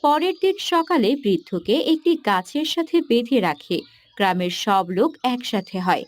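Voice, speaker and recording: High-voiced woman narrating a moral tale. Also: animated, measured pace. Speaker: story narrator.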